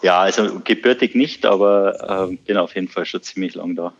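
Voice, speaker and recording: A man from Bavaria with a Bavarian accent, a podcast guest talking casually and haltingly with lots of fillers. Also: low-pitched, muffled recording, slight background noise. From Bavaria, Germany. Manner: relaxed, hesitant with many fillers. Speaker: podcast guest, surf school owner.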